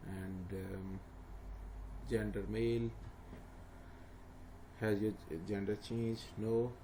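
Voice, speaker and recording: A man's voice: fairly low pitch, creaky. A man talks through an online form step by step, plainly and instructively, with frequent fillers. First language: not English. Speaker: tutor. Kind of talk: screen-recorded walkthrough. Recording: noisy home recording.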